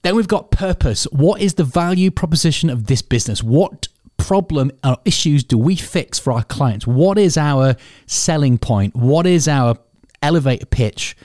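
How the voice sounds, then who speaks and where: A man's voice: on the high side, lively intonation, normal speed, a little mellow, no echo, clean studio sound. A man hosting a solo podcast, sniffling from a cold as he candidly explains his planning in a thinking-aloud way. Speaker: podcast host and business owner.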